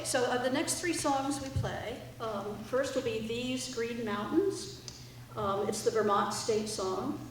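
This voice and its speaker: A woman speaking informally to a live audience as a musician introducing her group's songs. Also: fairly high pitch. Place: indoor library art gallery, live audience. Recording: echoey room, distant mic, faint noise.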